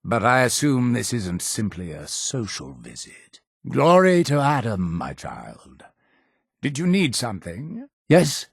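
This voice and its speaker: A zealous male cult leader for a video game. His delivery swings widely in pitch, grand and deliberate like preaching to the faithful. The voice is solemn and fanatical, recorded dry and close. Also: huge pitch swings, creaky, breathy edge, no echo, full character voice. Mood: solemn, measured. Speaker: game character voice actor. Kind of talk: theatrical video game dialogue.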